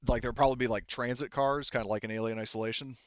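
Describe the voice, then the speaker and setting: A man's voice: mid-range voice, steady tone, quick pace, no room sound, muffled recording. A man working as game master, narrating a tabletop roleplaying game casually and often backtracking mid-sentence.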